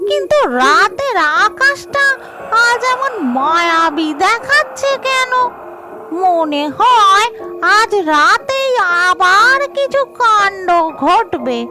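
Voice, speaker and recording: A woman voicing a grumbling, greedy fish-loving witch in a cartoon, muttering to herself in drawn-out colloquial speech. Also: very high-pitched, big pitch swings, over loud music, exaggerated voice. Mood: curious, sleepy. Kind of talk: cartoon character acting.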